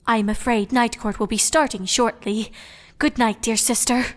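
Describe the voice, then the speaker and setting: A woman voicing a gentle, caring night princess pony with formal, old-fashioned phrasing in a storybook reading. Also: a little high, fairly even intonation, moderate pace, in a large hall, lightly acted.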